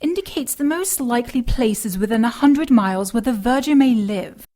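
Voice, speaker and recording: A woman's voice: on the high side, animated, normal speed, mellow, close to an everyday voice. An actress playing a proud, principled young British woman, a detective's prim and emphatic partner in an audio drama. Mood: dry, composed.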